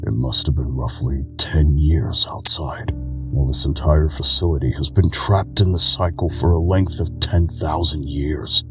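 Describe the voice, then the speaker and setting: A man voicing a weary, calm, melancholic old keeper of memories in a game fandub, speaking in a measured, solemn way. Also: very deep voice, music in the background. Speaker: amateur voice actor in a game fandub. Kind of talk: dramatic scripted monologue, game dubbing.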